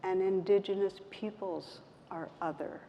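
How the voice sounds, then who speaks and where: A woman with a soft voice speaking gently and reflectively as a meditation teacher, in measured phrases with pauses. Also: mid-range voice, fairly even intonation. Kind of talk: reflective talk in an on-stage conversation. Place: event stage in a hall. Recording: roomy hall, muffled, background noise.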